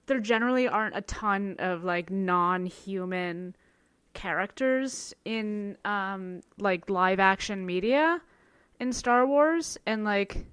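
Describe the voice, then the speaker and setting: Co-host, young woman from the East Coast, smooth clear voice, dry close sound, loose rambling delivery. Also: medium pitch, fairly bright tone, no echo. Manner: rambling, filler-heavy. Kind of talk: casual chat.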